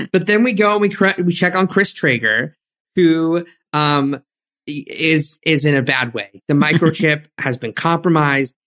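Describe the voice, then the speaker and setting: A woman co-hosting a casual chat podcast, animated and quick to laugh as she retells favorite moments. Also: low voice. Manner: animated, quick to laugh, tells sports stories with enthusiasm. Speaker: podcast co-host.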